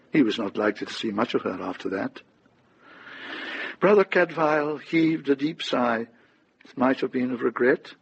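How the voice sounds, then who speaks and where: A man's voice: medium pitch, gravelly, muffled recording, slight background noise. A man narrating a radio drama in a reflective, scripted storytelling style.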